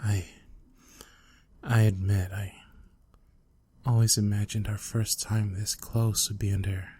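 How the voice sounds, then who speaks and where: A close, dry recording of a man acting out a lovesick, obsessive male student in an ASMR audio drama. He speaks slowly in a soft, husky voice, stammering shyly and coaxing, with menace underneath. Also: fairly low pitch, little pitch movement, no echo. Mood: calm, sinister, tender.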